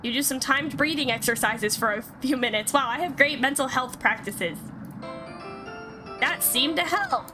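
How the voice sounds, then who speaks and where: A woman's voice: high-pitched, moderate pace, music in the background. A woman streaming a game, reading its text aloud and reacting in a playful, theatrical way. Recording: clear background noise.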